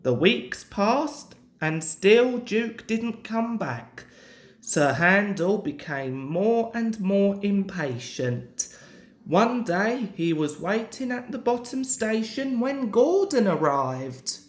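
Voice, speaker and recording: A man's voice: high voice, sing-song, slow. A young man reading a children's story aloud as a narrator. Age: young adult. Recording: roomy, echoing home recording.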